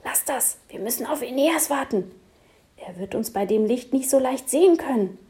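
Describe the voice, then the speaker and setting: A woman voicing a calm, protective young male shapeshifter in a storybook, speaking urgently and hushed. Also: sits high, normal speed, pitched above the performer's own voice.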